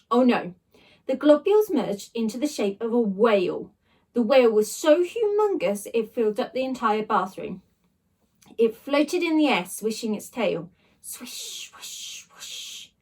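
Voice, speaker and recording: Female children's story narrator, teacherly: animated, dramatic, slightly high, a bit off-mic. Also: measured pace, husky. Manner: dramatic, expressive, emphatic sound words. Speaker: teacher reading aloud. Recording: voice a little distant from the microphone.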